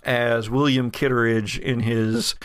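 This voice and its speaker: A man working as game master, improvising descriptive narration for a tabletop horror game in a halting, self-correcting way. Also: medium pitch, level tone, a bit bright, smooth, no room sound, studio quality.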